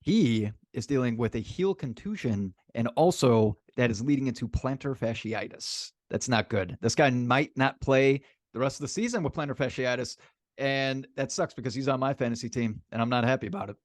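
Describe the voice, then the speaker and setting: A man co-hosting a sports podcast in casual banter, animated and repeating phrases for emphasis. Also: medium pitch, moderate pace, no echo, studio quality.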